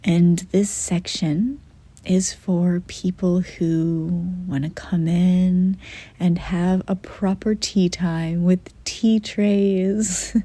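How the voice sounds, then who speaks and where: A woman narrates a guided meditation very slowly, in a soft and breathy voice that sits close to a whisper. Her delivery is gentle and unhurried, with frequent pauses. The sound is dry and intimate, with hardly any room to it. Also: on the low side, steady tone, dry recording.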